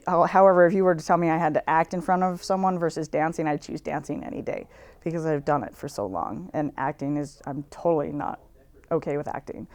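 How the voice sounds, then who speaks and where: A young woman who works as a sound technician in theater, answering interview questions in a candid, halting way. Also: low voice, a little mellow, clear, resonant tone. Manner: candid, hesitant with fillers.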